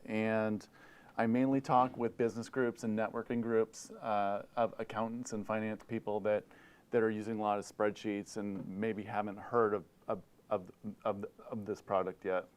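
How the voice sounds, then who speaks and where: Give me a hesitant middle-aged man from Hawaii giving a conference talk, with a resonant, fairly low voice. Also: level tone, normal speed, clear, resonant tone. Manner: hesitant, many repeated words and fillers. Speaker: conference speaker. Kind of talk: presentation talk. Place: conference room, indoors.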